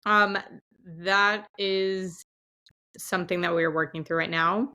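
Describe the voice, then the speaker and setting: A woman, a startup founder, answering interview questions in a measured way with frequent um fillers. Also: fairly low pitch, steady tone, bright, forceful tone.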